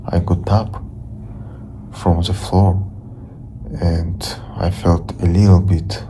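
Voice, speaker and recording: A young man with a Russian accent, a native Russian speaker, telling a story from his bedroom in halting English, repeating and restarting his words. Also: deep voice, flat delivery, dark tone, slight background noise. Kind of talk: casual storytelling to camera.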